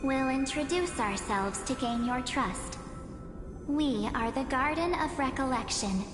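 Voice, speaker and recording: A woman's voice: high voice, measured pace. A woman voicing a formal, poised envoy of a mysterious order in a video game. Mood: self-assured. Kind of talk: dramatic video game voice acting. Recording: echoey long reverb, music behind.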